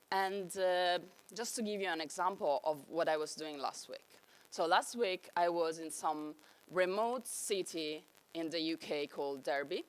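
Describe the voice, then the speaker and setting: A young woman with an Italian accent giving an enthusiastic conference talk on stage. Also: lowish voice. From Italy. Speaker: conference speaker.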